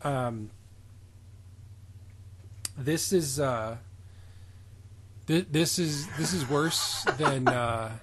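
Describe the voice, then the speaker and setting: A man's voice: medium pitch, drawn-out words, pauses often, a bit bright, a little hiss or hum. A man co-hosting a comedy podcast, reading reviews aloud and joking around with lots of laughter and swearing. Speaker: podcast co-host.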